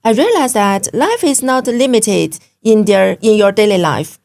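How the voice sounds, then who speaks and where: A woman from China speaking English with a Chinese accent as an interview guest, pausing often with fillers. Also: medium pitch, lively intonation, gravelly, darkish tone. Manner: hesitant, many fillers, simple English. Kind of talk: interview conversation.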